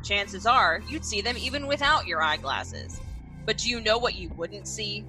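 A woman voicing an upbeat commercial announcer pitching a service. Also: animated, normal speed, bright, forceful tone, faint music behind, poor audio. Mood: peppy. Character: bright, peppy female ghost-removal ad announcer.

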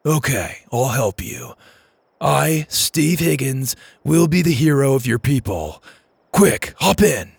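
Man playing an excitable geeky skinny guy. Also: medium pitch, a little slow, gravelly, slightly rough, heavily acted. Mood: proud, eager. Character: skinny, geeky adult man, amused, gullible sci-fi fan.

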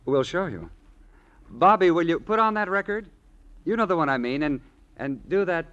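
A man's voice: fairly high pitch, big pitch swings, normal speed, fairly bright tone. An actor playing an eccentric, coy and catty man with a mannered, teasing delivery, in old-time radio drama style. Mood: teasing, sly. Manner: mannered, hesitant, teasing digressions. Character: affected, eccentric twin brother, coy and catty. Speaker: actor in a radio detective series. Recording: muffled vintage radio recording, music behind.

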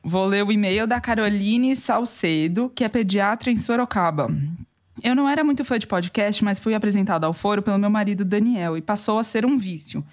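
Woman from Brazil, mid pitch, podcast co-host, reads emails, playful banter, home mic. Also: a little fast. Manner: warm, laughing, teasing. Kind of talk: reading listener emails, casual chat. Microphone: remote home mic.

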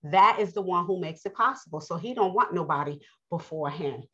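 A woman with a New York accent delivers an informal sermon in a low voice, speaking earnestly and pausing often between thoughts. The recording is slightly muffled and dull, like a simple home podcast setup. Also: lively intonation, a bit bright, husky. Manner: earnest, unhurried, with many pauses and fillers. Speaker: podcast host and preacher.

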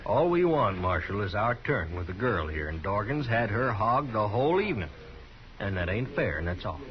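Lowish animated male voice, smug frontier gunslinger, needling, falsely peaceable, muffled radio. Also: moderate pace. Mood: sly, insistent. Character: tall, sly gunman feigning peaceable innocence. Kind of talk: 1950s radio Western acting. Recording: muffled old radio, crowd behind.

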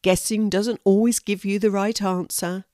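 A woman voicing a kindly, polite male hedgehog in a children's story, speaking in a gentle, plain-spoken, concerned manner. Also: mid-range voice, flat delivery, normal speed, no echo, studio quality, natural voice. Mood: friendly.

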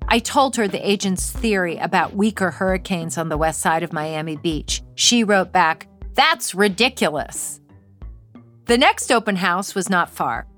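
A woman's voice: lowish voice, a bit bright. A woman writer reading her own first-person essay aloud with wry, dry humor.

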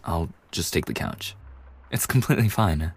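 Low, husky, gentle young bartender played by a man. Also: lively intonation, normal speed, exaggerated voice. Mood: soft, soothing. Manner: gentle, reassuring, slightly bashful. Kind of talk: intimate ASMR roleplay acting.

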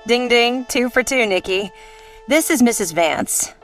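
Sassy, slow-drawling noir secretary. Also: female voice, a little high, lively intonation, a bit bright, faint music behind. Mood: breezy, curious. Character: brisk, sassy female detective's secretary. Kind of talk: old-time radio noir acting.

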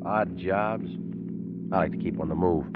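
A tinny old radio recording with loud car noise holds a young drifter. His voice is low, his answers terse and deadpan. He sounds guarded and sardonic, in radio crime drama manner. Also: a little slow. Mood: wary, sardonic. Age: young adult. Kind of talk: 1940s radio drama acting.

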